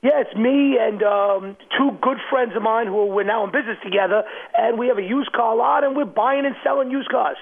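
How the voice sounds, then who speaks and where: A male interview guest with a New York accent, heard down a narrow, tinny phone line. His voice is high and raspy, and he talks in an informal, plain-spoken, streetwise way. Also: brisk, hardly any pauses. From Long Island, New York. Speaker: interview guest, used car dealer. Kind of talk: casual chat.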